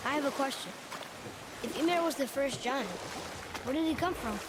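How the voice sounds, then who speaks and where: A man's voice: very high-pitched, moderate pace, noisy background. A young male actor playing an earnest, hopeful boy who is curious, sensitive and a little hesitant, in a video game.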